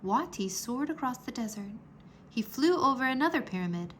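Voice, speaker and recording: Higher-voiced woman reading a children's story. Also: lively intonation, normal speed, a little hiss or hum. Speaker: read-aloud narrator. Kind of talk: children's storybook read-aloud.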